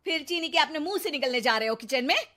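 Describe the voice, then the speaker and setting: A woman's voice: sits high, expressive, fast talker, sharp tone, pitched above the performer's own voice. A woman voicing a neglected, talkative cartoon housewife and mother, full of dramatic exclamations and biting questions. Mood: sarcastic. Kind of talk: Hindi cartoon moral story acting.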